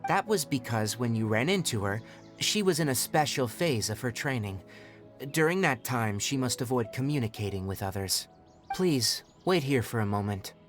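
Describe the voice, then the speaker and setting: An actor playing a composed, knowledgeable young male forest ranger in a video game, speaking in an articulate, measured and formal way. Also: mid-range voice, expressive, natural voice. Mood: composed, explanatory. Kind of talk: scripted video game voice acting. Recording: background music under the voice. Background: game music.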